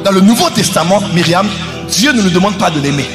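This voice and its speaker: A man preaching in a sing-song way, shouting his key lines. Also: high-pitched, lively intonation, fairly quick. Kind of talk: sermon clip. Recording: loud background music.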